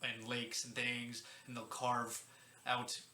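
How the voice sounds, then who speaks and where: A man's voice: mid-range voice, completely flat delivery, takes it slowly, bright, forceful tone. A man hosting a podcast in a casual, joking, informal explainer style, stumbling over unfamiliar names. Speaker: podcast host. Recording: roomy echo, faint background noise.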